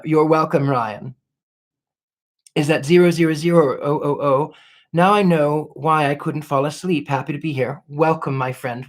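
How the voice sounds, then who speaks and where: Courtly, gracious man hosting a live Q&A. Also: fairly high pitch. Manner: courtly and gracious, effusive thanks; reflective, many restarts while searching for words. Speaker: livestream host answering viewer questions. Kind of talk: casual live Q&A chat.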